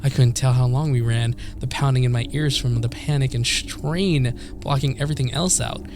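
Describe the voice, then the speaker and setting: A man's voice: medium pitch, normal speed, background noise. A man live-streaming a game, reading story text aloud with stumbles, corrections and chuckles between his own comments. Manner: chuckles, stumbles over words and corrects himself. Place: streaming setup indoors. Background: game music.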